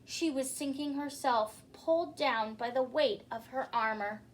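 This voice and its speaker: Woman storytime host, children's read-aloud, theatrical, high voice, unhurried pace, speaks directly to listeners. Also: slight background noise.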